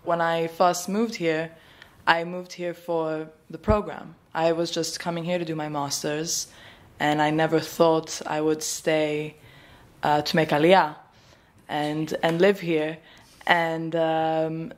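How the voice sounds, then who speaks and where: A woman's voice: sits low, little pitch movement, slow pace. A woman answering interview questions in a rambling, thinking-aloud way with many fillers. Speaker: graduate student guest.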